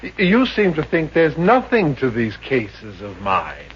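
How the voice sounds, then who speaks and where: Fussy, flustered radio psychiatrist. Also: male voice, medium pitch, huge pitch swings, unhurried. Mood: flustered, indignant. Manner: hesitant, stammering, indignant pomposity. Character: fussy, self-important male psychiatrist, easily flustered. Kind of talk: 1950s radio comedy acting. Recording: dull, noisy old-time radio recording.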